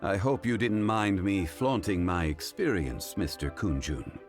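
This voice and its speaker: An actor playing a calm, learned expert in a video game who speaks in a measured, formal way. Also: male voice, sits low. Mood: composed. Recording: game audio with background music. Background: game music.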